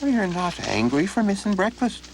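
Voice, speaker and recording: A man voicing a crafty old gentleman who hires farmhands, speaking in a drawn-out, wheedling folksy way. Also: high voice, very animated, slight background noise, voice pushed up. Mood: teasing. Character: sly old man employer, crafty bargainer.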